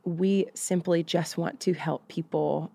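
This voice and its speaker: Give me a sincere, measured woman with a fairly low voice, recorded dry and close. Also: steady tone, gravelly, warm tone, breathy edge, dry recording. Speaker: worship songwriter. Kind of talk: casual podcast chat.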